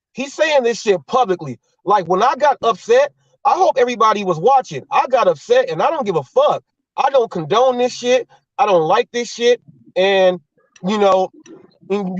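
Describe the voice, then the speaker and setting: A high-pitched man hosting a talk show, talking bluntly and cursing freely, circling back to hammer the same point. His voice sounds dull and set back from the mic, with no room echo. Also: far from the mic. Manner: blunt, swearing, repeats himself when worked up. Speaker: host. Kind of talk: heated casual talk show chat.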